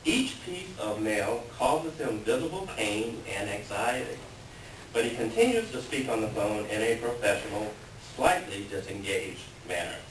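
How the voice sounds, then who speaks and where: A man's lowish voice carries from a distance in an echoey room with clear background noise. He introduces a play reading as its announcer, reading aloud and pausing often. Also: sing-song.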